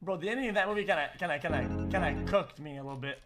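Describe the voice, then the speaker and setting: High-voiced young man streaming. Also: very fast. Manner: excitable, swearing, addresses chat. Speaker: livestreamer. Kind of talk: casual reaction chat. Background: music playing.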